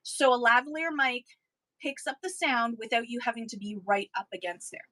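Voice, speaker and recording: A woman's voice: fairly high pitch, normal speed, very noisy. A Canadian woman, a livestream seller, chatting casually and practically to her viewers as she gives selling advice. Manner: chatty, practical, with frequent ums and restarts. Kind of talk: casual instructional talk to viewers.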